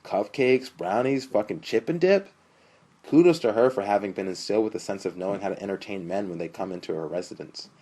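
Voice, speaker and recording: A man's voice: lowish voice, a little fast, dark tone, husky. A man voicing a crude, wisecracking young guy at a party, slangy, sarcastic and emphatic, in an audiobook-style story. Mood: enthusiastic, joking.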